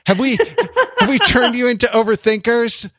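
A man acts out a drill sergeant in comic style, pitched up high and declaiming orders to troops. The bark is half amused, and the sound is dry and close. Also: big pitch swings, moderate pace, fairly bright tone, dry recording, exaggerated voice. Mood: mock commanding. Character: mock drill sergeant rallying recruits to march.

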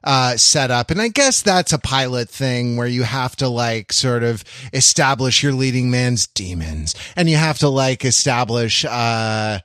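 A man's voice: medium pitch, animated, measured pace, hardly any pauses, a bit bright, no echo, clean studio sound. A man co-hosting a podcast in casual chat, rambling with frequent false starts and comic exaggeration. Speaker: podcast co-host. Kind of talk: casual panel chat about television.

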